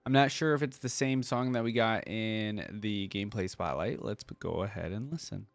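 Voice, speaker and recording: A man's voice: fairly low pitch, moderate pace, creaky, no room sound. A young man hosting a casual reaction video with laid-back, chatty, appreciative commentary.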